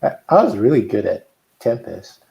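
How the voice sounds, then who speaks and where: A man's voice: lowish voice, big pitch swings, moderate pace, vocal fry, mellow, poor audio. A middle-aged man chatting casually and informally. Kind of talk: casual chat.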